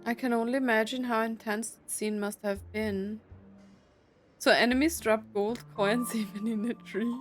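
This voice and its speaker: A woman's voice: a little high, fairly even intonation, moderate pace, soft background music. A woman giving casual playthrough commentary as she reacts to a game's story. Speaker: streamer reacting to a game story.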